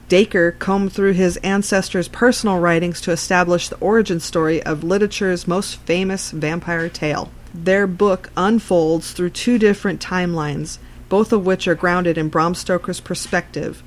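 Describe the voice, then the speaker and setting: A woman's voice: lowish voice, normal speed. A woman narrating a written article in a scripted reading style.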